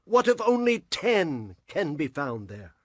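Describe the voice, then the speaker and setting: A man's voice: on the high side, very animated, a little slow, no room sound, clean studio sound. An actor playing a humble, reverent patriarch in a scripture audio drama, hesitant and deferential as he pleads. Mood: reverent pleading.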